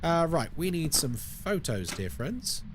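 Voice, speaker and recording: A man's voice: a little high, big pitch swings, measured pace, slight background noise. A man from London with a London accent, casually chatting with viewers and explaining the game as he plays on a live stream. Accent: London English. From London, United Kingdom. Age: adult, over 20. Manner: chatty, explains the game while answering chat.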